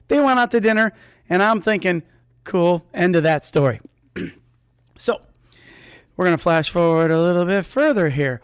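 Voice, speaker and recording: A man's voice: high voice, dry recording, clean studio sound. A man telling a comic true story in a conversational, self-interrupting way, as a storyteller on stage.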